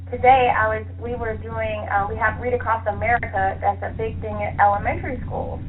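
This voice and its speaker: A woman with a fairly high voice talks as an interview guest over a narrow, phone-like connection. She explains things conversationally, thinking aloud and reaching for examples. A faint noise sits on the line. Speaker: interview guest, author and librarian. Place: remote call to internet radio show.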